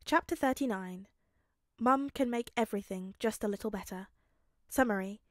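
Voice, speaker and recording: A woman reading fan fiction aloud as expressive, dramatic narration with halting, emotive pauses. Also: mid-range voice, dry recording, clean studio sound. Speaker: fan fiction audiobook reader.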